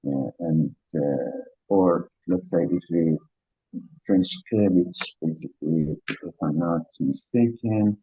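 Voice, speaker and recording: Low husky guy, persian accent, lots of uhs, dull dry lecture audio. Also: slow pace, dark tone, no room sound. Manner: hesitant, many "uh" fillers. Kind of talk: academic Q&A answer.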